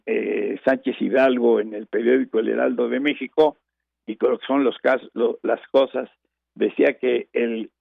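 An older man with a Mexican Spanish accent hosts a radio show, his voice heavily gravelly and fried. He wanders from point to point, stalling on fillers and restarting his sentences. The sound is narrow and tinny like a phone line, and bone-dry. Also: mid-range voice, dry recording. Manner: digressive, many fillers and restarts. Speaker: radio host. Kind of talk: rambling radio chat and reminiscence.

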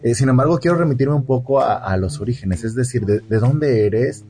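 A man with a Mexican accent hosting a conversational interview, repeating words haltingly as he forms his questions. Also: mid-range voice, lively intonation, over music. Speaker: interviewer, host.

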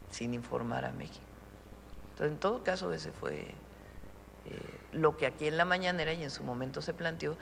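A Mexican woman holding a press conference, answering questions in a measured, deliberate way with frequent pauses. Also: low-pitched, gravelly, in a large hall, slight background noise, poor audio.

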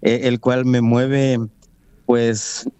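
A man's voice: mid-range voice, level tone, warm tone, smooth, dry recording. Earnest man. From Mexico. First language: Nahuatl. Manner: earnest, measured, with many fillers and restarts. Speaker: guest, Nahuatl language coordinator. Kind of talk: interview conversation.

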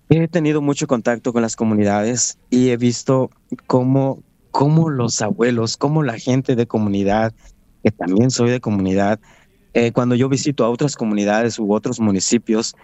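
A man's voice: mid-range voice, mellow, no echo, muffled recording. Earnest Mexican man, native Nahuatl speaker. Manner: earnest and proud, measured with frequent restarts. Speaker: guest, Nahuatl language coordinator. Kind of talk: interview conversation.

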